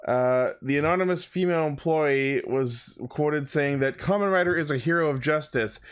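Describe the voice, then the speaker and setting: A man's voice: on the high side, normal speed, smooth. A man co-hosting a podcast, chatting casually about fan news. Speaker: podcast co-host.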